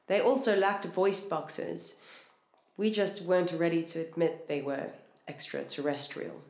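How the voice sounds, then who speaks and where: A woman's voice: fairly low pitch, steady tone, unhurried, vocal fry, a little mellow. An actress playing an earnest, precise female scientist in an audio drama, explaining things formally and carefully. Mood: earnest, clinical.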